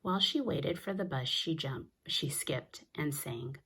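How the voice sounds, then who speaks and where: A woman reads a children's story aloud. Her voice sits a little low as she narrates. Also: expressive, a little slow, pushed and bright, slightly rough. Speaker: storybook reader.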